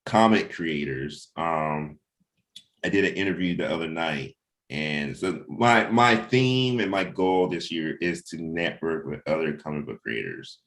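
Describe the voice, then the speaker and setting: A man's voice: very deep voice, lively intonation, unhurried, a bit bright. A man talking casually and informally as he leads a team meeting of comic book creators, often checking in with his listeners. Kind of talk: casual chat, planning and anecdotes.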